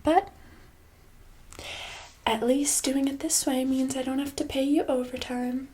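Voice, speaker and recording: A woman playing a controlling, passive-aggressive boss in a soft-spoken ASMR roleplay, breathy and slipping into whispers, condescending and casually menacing. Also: sits high, steady tone, unhurried. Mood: bossy, threatening. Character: controlling, passive-aggressive female boss holding an employee hostage.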